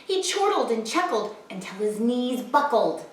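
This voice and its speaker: A woman's voice: on the high side, very animated, unhurried. Theatrical woman storyteller, very echoey. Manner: theatrical, rhythmic reading of rhyme. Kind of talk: expressive children's storybook read-aloud. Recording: very echoey room.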